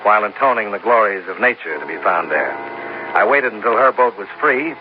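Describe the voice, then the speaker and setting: An actor playing a cool, wry insurance investigator in a 1950s radio detective drama, with a dry, matter-of-fact delivery. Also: male voice, fairly low pitch, expressive, brisk, close to an everyday voice. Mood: dry, narrating. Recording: muffled, noisy old radio recording.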